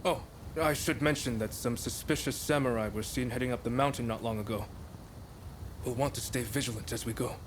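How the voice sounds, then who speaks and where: An actor playing a loyal, determined young samurai comrade in a video game, speaking with earnest urgency. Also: male voice, medium pitch, a little fast, background noise, lightly acted. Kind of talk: dramatic video game acting.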